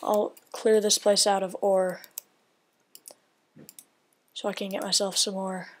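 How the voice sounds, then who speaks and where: A woman's voice: medium pitch, level tone, takes it slowly. A woman giving casual gameplay commentary, thinking aloud in a rambling way and often dropping to a whisper. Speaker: gaming video creator.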